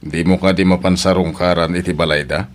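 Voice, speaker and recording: A man's voice: sits low, level tone, brisk, natural voice. A man acting a stern older father who longs for his grandchild, in an Ilocano family drama. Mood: stern, pleading.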